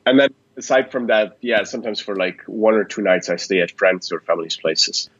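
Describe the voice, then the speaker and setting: A man from Switzerland, answering interview questions in a relaxed, thoughtful way with frequent restarts. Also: on the low side, a little fast, no room sound, highs cut off. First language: Swiss German. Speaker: guest. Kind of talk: podcast interview.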